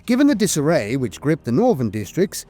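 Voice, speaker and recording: Higher-pitched man narrating lore, lively intonation. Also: fairly quick. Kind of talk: scripted documentary-style lore narration. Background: music.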